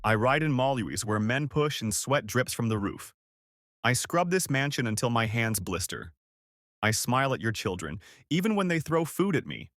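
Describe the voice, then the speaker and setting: A man voicing a weary, humble young housemaid who pleads earnestly and with quiet defiance in a told story. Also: mid-range voice, very animated, moderate pace, no echo, studio quality. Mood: earnest pleading.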